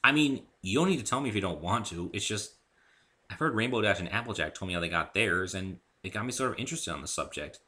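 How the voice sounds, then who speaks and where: Guy reading as polite curious dude in a story, hesitant and casual, lowish bright voice. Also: animated, fast. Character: polite, curious male visitor named Dave.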